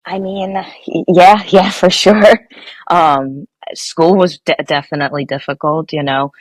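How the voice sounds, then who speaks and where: A woman's voice: on the low side, fairly even intonation, a little slow, dry recording, poor audio. Young woman, guest engineer, from Nepal, rambling casual answers, many restarts, dry recording. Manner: chatty, many fillers and restarts.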